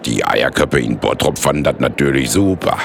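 An actor narrating a radio play as a wry, blunt working-class buddy with a gravelly voice and slangy way of talking. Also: male voice, rumbling low, lively intonation, moderate pace, a little hiss or hum, exaggerated voice. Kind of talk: colloquial radio drama narration.

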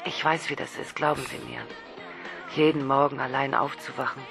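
A woman's voice: very deep voice, little pitch movement, normal speed, creaky, over music. An actress playing a lonely, shy woman who calls a man on the phone, tipsy, nervous and obsessive, often whispering. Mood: confiding. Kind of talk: radio drama acting.

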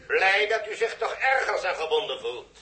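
A man's voice: sits high, very animated, moderate pace, sharp tone, exaggerated voice. A man acting a formal, unyielding ground controller on a radio link in a 1960s Dutch radio drama. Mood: stern, unyielding. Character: officious male mission controller, brisk and unyielding. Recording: echoey old radio drama recording.

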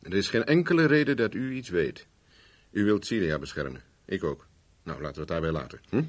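Wry hired investigator, 1970s radio drama. Slightly low, rough-edged voice, teasing and self-mocking. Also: male voice, animated, brisk. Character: wry, cocky male private investigator for hire. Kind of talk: 1970s Dutch radio play acting.

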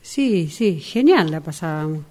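Evasive, hesitant Argentine woman female actor for radio drama, unhurried, dark-toned, repeating herself awkwardly. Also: deep voice, animated. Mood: awkward, polite. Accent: Argentine, Rioplatense. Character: awkward woman feigning recognition of old friend. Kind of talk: radio drama workshop acting.

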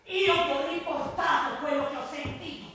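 An actress playing an embattled school principal in a stage drama, insistent, formal and defensive. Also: female voice, sits high, normal speed, a bit bright. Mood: indignant, adamant. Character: embattled female high school principal, adamant and indignant. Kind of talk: theatrical stage drama acting. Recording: echoey hall, distant mic, faint noise.

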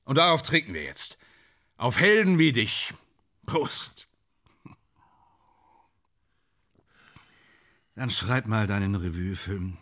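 A man's voice: lowish voice, sing-song, a little slow, bright, forceful tone, exaggerated voice. A man in a German radio play playing a sardonic, brooding film actor who drinks hard and talks bitterly and theatrically. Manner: sardonic, brooding, theatrical, swinging from muttering to outbursts.

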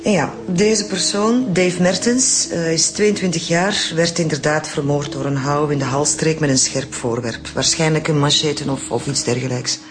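An actress playing a matter-of-fact, breezy forensic pathologist with a Flemish accent in a radio crime drama. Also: female voice, sits low, moderate pace, few pauses, over music, natural voice.

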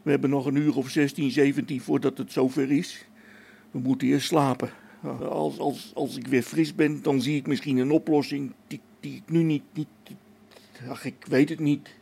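A man's voice: mid-range voice, flat delivery, normal speed, gravelly, warm tone, husky. An amateur actor in a radio play as an anxious space station technician, a man who stammers and hesitates, agitated. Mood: flustered, weary.

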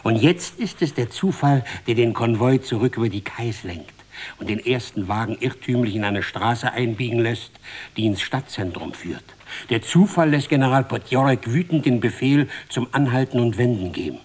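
An actor playing a solemn, impassioned storyteller in a radio play, declamatory and deliberate, repeating phrases for emphasis. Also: male voice, medium pitch, lively intonation, a little mellow, husky. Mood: grave, mounting. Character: solemn, impassioned male storyteller, a bard of fate. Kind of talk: dramatic scripted narration in a radio play.

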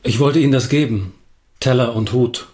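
A man's voice: medium pitch, normal speed, darkish tone, close to an everyday voice. A man acting in a radio drama, playing an awkward visitor who asks hesitant but probing, reproachful questions.

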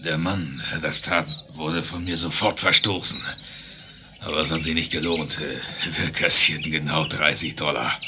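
Casting: melancholic dying outlaw for western radio drama, deep, faltering, loyal; clearly noisy outdoor birdsong behind. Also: male voice, steady tone, measured pace. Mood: weary confession. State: weak, dying, halting speech. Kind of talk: German 1970s western radio play acting.

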